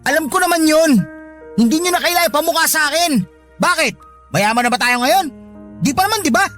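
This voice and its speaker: A woman's voice: high voice, expressive, brisk, fairly bright tone, faint music behind. A woman with a Filipino accent playing a lazy, insolent, bullying grown child in a radio drama. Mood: whiny, resentful. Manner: whiny, brash, defiant.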